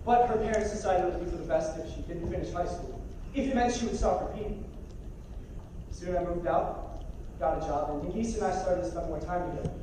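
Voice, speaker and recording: A man's voice: high-pitched, brisk, natural voice. A young man playing a troubled, resentful young man in an amateur stage play, with earnest, projected delivery. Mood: wistful narrating. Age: young adult. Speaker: stage actor. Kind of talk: amateur stage drama acting. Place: large theater stage, indoors. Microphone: far audience camera. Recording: noisy, echoey theater recording from far off.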